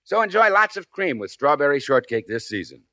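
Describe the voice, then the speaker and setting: A man's voice: fairly high pitch, big pitch swings, a little fast, sharp tone, dry recording. A man working as announcer and sidekick in a scripted 1950s radio style.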